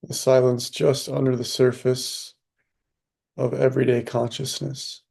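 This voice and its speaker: A man leading a guided meditation as a teacher, unhurried and leaving long pauses. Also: mid-range voice, monotone, gravelly, darkish tone, husky.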